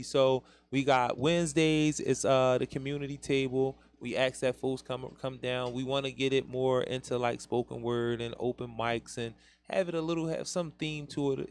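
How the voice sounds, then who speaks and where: A man with a Philadelphia accent hosting a casual internet radio talk show, rambling loosely and laughing often. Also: mid-range voice. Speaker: podcast host.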